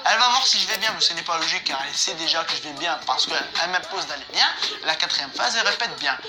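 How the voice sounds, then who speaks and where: Young male host, quick delivery, mock-analytical teasing, slangy comedic review, music underneath. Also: fairly high pitch, expressive. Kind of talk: comedic music video commentary.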